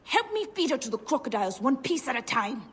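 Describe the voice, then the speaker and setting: A woman's voice: high-pitched, big pitch swings, fast talker, breathy edge, in a large hall, heavily acted. An actress playing a bitter, wounded woman hungry for revenge in a dramatic video game. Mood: vengeful, fierce.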